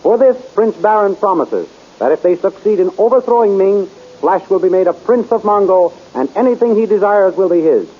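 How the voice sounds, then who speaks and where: A man's voice: high-pitched, expressive, normal speed. Dramatic radio serial narrator, man. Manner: dramatic and urgent. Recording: muffled old radio recording with clear hiss.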